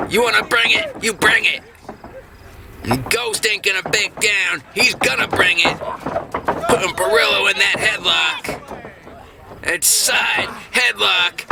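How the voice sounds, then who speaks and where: A man calls wrestling in a high voice with a gravelly edge. He is dramatic and emphatic, often shouting his play-by-play over clearly noticeable background noise. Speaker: wrestling commentator. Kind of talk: excited play-by-play sports commentary.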